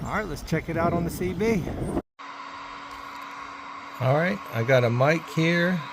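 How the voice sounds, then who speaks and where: Higher-pitched casual man, noisy recording. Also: animated, measured pace. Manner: informal, narrating as he goes. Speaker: hobbyist CB radio vlogger. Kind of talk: casual hands-on demonstration and radio chat.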